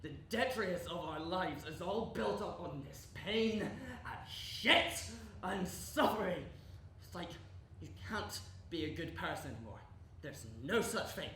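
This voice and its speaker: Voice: mid-range voice, lively intonation, a little slow. Erratic, swooping underworld landlord played by a student actor. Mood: musing, wary. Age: young adult. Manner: rambling, repetitive, erratic emphasis with sudden shouts. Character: eccentric, evasive underworld landlord, talkative and volatile. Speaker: actor in a stage play. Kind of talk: live theatre monologue and dialogue. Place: echoey indoor performance space. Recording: roomy live stage recording with noise.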